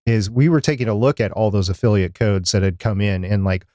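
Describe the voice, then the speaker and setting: A man's voice: fairly low pitch, a little fast, warm tone, dry recording, clean studio sound. A man speaking as a podcast co-host in a casual, conversational chat.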